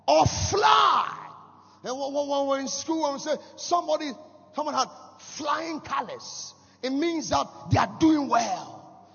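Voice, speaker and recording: A man with a Ghanaian accent preaching a sermon, shouting in sing-song, repeating rising phrases in quick bursts. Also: extremely high pitch, vocal fry. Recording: dull lo-fi sound with long hall reverb.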